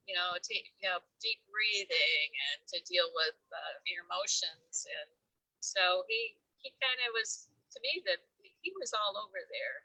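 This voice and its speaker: An older woman hosting an informal book discussion, reflective and halting as she talks. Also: medium pitch, bright, forceful tone, no room sound, low-quality recording. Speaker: host of story discussion.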